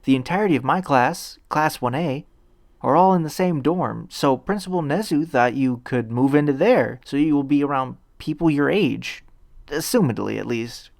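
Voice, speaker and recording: A man's voice: on the high side, animated, normal speed, vocal fry, dry recording, clean studio sound. A man voicing an earnest, polite teenage boy hero in a fan fiction reading, eager and a little nervous, with a stammer. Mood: eager, helpful.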